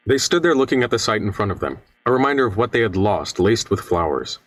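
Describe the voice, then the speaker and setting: Quick, husky male narrator. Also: fairly low pitch, animated, dry recording, recorded from a distance. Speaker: radio play narrator. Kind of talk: scripted dramatic narration.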